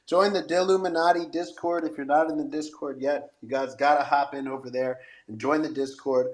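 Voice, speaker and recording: A man's voice: on the high side, fairly even intonation, a little fast, low-quality recording. A man hosting a podcast in a live audio room, promotional and encouraging, speaking in casual slang. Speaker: show host.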